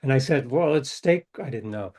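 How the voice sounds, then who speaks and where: A man's voice: mid-range voice, lively intonation, normal speed, a little mellow. An older man, a novelist, telling stories in a casual interview, rambling and correcting himself, acting out quoted dialogue. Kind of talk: casual storytelling.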